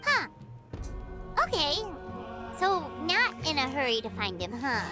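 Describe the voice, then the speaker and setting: A woman voicing a tiny, excitable, childlike fairy girl companion in a video game, squeaky and bubbly. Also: measured pace, loud music behind the voice. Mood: curious. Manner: squeaky, bubbly, speaks of herself in third person. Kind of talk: scripted video game voice acting.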